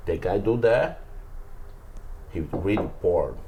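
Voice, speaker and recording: A Brazilian man, native in Portuguese, chatting casually as an interview guest in halting, simple English and searching for his words. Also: sits low, lively intonation, mellow. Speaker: interview guest, jiu-jitsu athlete. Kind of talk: casual conversation.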